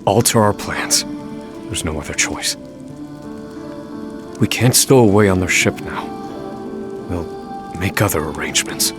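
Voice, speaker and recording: A grave, learned man of fantasy games, with a very low voice. His diction is formal and measured, every phrase deliberate and self-possessed. Music plays clearly behind him. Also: lively intonation. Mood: grave, resolute. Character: grim, brilliant adult male scholar escaping prison. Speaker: fantasy game character. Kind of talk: dramatic video game voice acting.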